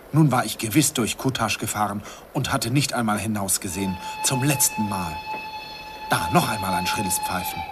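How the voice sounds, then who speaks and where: An actor narrating as an older man wistfully recalling his youth in a classic radio play. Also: mid-range voice. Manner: wistful storytelling, chuckling. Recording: hissy old radio recording.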